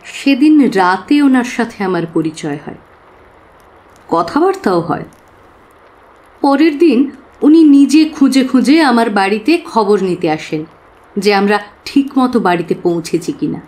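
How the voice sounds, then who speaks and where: An actress playing an earnest young working woman who confides expressively and with pauses in an audio drama. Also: on the high side, animated, a little mellow. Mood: confiding. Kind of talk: dramatised audio story acting.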